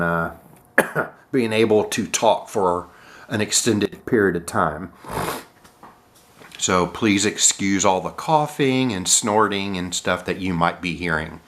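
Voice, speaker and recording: Slow-talking man with a stuffy nasal cold. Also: sits low, fairly bright tone. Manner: halting, many uh fillers and throat clearing. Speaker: model airplane collector. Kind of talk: casual unboxing narration.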